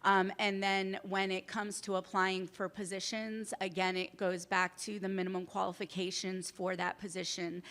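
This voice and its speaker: A woman presenter answering audience questions at a workshop, explaining in halting sentences full of fillers. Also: mid-range voice, level tone, a bit bright. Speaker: civil service program presenter.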